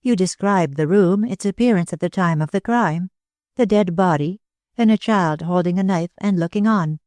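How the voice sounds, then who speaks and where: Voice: mid-range voice, normal speed, darkish tone, no room sound, voice pushed up, natural voice. A reader voicing an anxious young woman who presses with urgent, faltering questions, in an audiobook.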